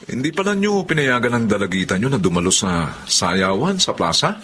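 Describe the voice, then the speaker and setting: A man's voice: lowish voice, big pitch swings, a little fast, background noise. A man playing a friendly, frank, lenient neighbour who probes tactfully in a Tagalog radio drama. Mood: concerned, questioning.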